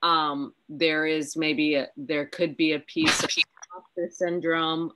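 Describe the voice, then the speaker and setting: A middle-aged woman on a video call, giving relaxed, conversational advice. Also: deep voice, takes it slowly, background noise. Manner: relaxed, conversational, many fillers. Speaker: business owner, panelist. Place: home room, indoors.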